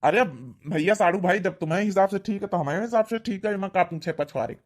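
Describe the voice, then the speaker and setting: A mild village father from a cartoon comedy, acted by a man talks in an unusually high voice. He has an Awadhi accent. His manner is homely and coaxing, that of a dad who indulges his grown child. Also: expressive, fairly quick. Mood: eager, agreeable. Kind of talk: cartoon comedy dialect acting.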